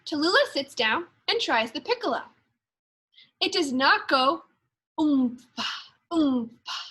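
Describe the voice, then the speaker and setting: A woman teacher reading a storybook aloud for young children, expressively and in measured phrases. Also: high-pitched, very animated, pushed and bright. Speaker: second grade teacher.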